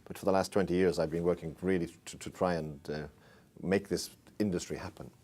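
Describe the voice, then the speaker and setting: A man in his sixties from Wales with a Welsh accent, answering interview questions in a relaxed way and repeating words as he starts. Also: low-pitched, brisk. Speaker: ocean engineer interviewee. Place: small indoor interview room.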